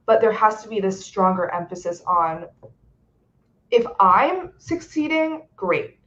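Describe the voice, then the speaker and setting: A woman's voice: mid-range voice, sing-song, a little slow, a bit bright. A young woman speaks earnestly, often leaning on "like" as she works through her point. Her voice sits in a middle register, and the sound is dull and lo-fi over a video call. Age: young adult. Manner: earnest, frequent "like" fillers. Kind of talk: webcast discussion. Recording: dull lo-fi audio.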